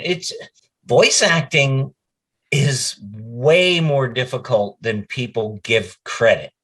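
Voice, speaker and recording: A man with an unhurried pace and a middling pitch talks casually as an interview guest. He tells stories in a conversational way, slipping in bits of quoted dialogue as he goes. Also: fairly bright tone, breathy edge.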